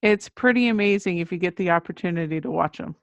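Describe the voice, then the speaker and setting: A woman's voice: fairly low pitch, fast talker, dry recording. Middle-aged woman chatting, dry close sound. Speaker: children's book author, podcast guest. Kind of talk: casual interview chat, anecdotal storytelling.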